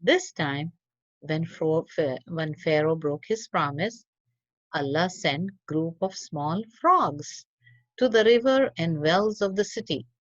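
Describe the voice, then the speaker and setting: A woman reading a religious story for children in an expressive, teacherly way. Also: deep voice, slow pace, dry recording. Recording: dull lo-fi audio.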